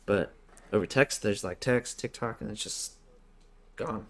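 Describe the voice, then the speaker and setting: A man's voice: lowish voice, unhurried, a bit bright. A man co-hosting a podcast, trading casual banter with a mock-serious delivery. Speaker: podcast co-host.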